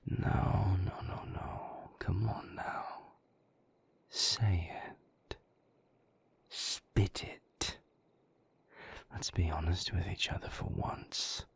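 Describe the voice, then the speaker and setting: A man playing a moody, bitter vampire boyfriend in an intimate audio roleplay, breathy and husky, with sardonic, cutting, deliberate phrasing. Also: low-pitched, steady tone, full character voice. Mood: sardonic, scornful. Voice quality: breathy, husky, gravelly half-whisper.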